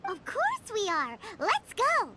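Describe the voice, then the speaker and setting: A woman voicing a tiny, excitable female fairy-like companion in a video game, chirpy and blunt, quick to exclaim. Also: extremely high pitch, very noisy, exaggerated voice. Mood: excited. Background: game music.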